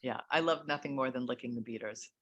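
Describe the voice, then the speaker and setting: A middle-aged woman with a notably low voice interviews an author over a video call. She talks in a measured way, full of fillers and restarts. The sound comes from a home room through a call mic. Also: dull audio.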